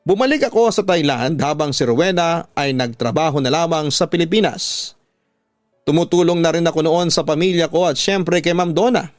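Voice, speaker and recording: A man's voice: a little high, normal speed, no echo, studio quality, faint music behind. A man with a Filipino accent reading a scripted, dramatic first-person life story as a radio storytelling host.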